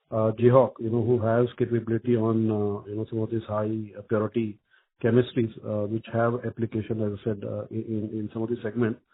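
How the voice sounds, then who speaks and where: A man with an Indian accent answering as a company executive on an earnings call, speaking haltingly with many repeated filler phrases. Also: mid-range voice. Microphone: telephone conference call. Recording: muffled narrow phone line.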